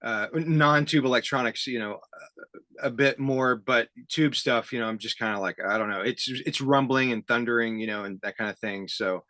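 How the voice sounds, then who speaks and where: A man hosting a casual conversational interview, speaking haltingly with a self-deprecating, enthusiastic manner. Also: medium pitch, sharp tone, dry recording. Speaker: interview host. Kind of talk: casual conversational interview about tape gear.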